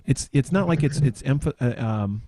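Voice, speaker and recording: A man's voice: medium pitch, expressive, normal speed, mellow, slight background noise. A man chatting casually on a podcast, hesitant and searching for his words. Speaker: podcast panelist. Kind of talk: casual chat.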